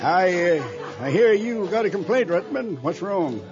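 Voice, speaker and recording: An actor playing a sly, mock-innocent old rancher with a rural Western American drawl and a rough, husky voice. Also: male voice, sits high, animated, unhurried. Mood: wily, indignant. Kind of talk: 1950s radio western acting. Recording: muffled old radio with music.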